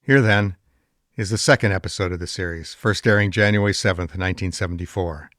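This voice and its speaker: A man's voice: sits low, fairly quick, fairly bright tone, no room sound, clean studio sound. A man presenting a program in a scripted documentary narration style.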